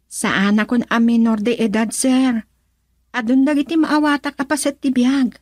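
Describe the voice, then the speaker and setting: A woman's voice: on the high side, steady tone, normal speed, a little mellow, no room sound, clean studio sound, close to an everyday voice. A woman playing a forward, coy and sympathetic young woman in an Ilocano radio drama, with an Ilocano accent. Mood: coy, bold. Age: young adult.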